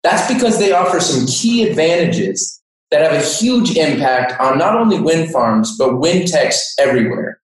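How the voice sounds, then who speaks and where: A man's voice: fairly high pitch, animated, moderate pace. A man in his twenties with an American accent, a sales engineer explaining things as an interview guest. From Denver, Colorado, United States. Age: 20s. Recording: echoey room, distant microphone.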